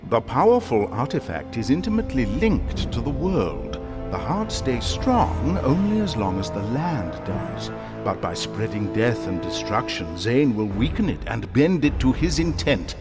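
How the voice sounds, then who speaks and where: An actor narrating a fantasy video game story as a wry, theatrical storyteller. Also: male voice, medium pitch, sing-song, measured pace, over loud music. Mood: wry, dramatic.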